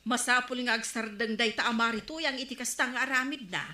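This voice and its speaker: A woman's voice: a little high, brisk, pushed and bright, echoey room. A woman playing a no-nonsense, disapproving village woman in an Ilocano comedy radio drama, with an Ilocano Filipino accent. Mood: stern.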